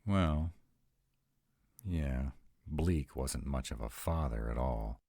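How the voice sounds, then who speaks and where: Man acting a very deep-voiced sarcastic vampire. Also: slow pace, vocal fry, dry recording, clean studio sound, exaggerated voice. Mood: sardonic, musing. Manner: sarcastic, expressive, conversational. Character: sarcastic young-at-heart male vampire, nearly two hundred, anxious. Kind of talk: intimate one-sided roleplay audio acting.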